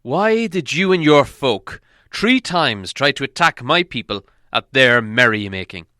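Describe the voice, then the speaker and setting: Man playing an imperious, loud elven king, stern and sharp. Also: mid-range voice, big pitch swings, measured pace, no room sound, studio quality. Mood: stern, demanding. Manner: imperious, demanding. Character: stern, angry elven king interrogating a prisoner.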